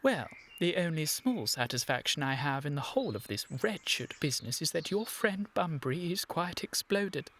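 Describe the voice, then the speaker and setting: A man with a British accent playing a flustered, earnest young Victorian gentleman in a radio comedy, with formal, exasperated, precise diction. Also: fairly high pitch, sing-song, moderate pace, hardly any pauses, slight background noise, full character voice. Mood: exasperated, indignant. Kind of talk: scripted radio comedy acting.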